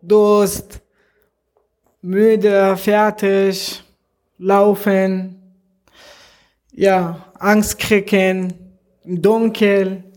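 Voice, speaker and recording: A young man from Afghanistan with an Afghan accent, answering interview questions in slow, halting learner's German. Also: sits high, level tone, darkish tone. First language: not German. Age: young adult.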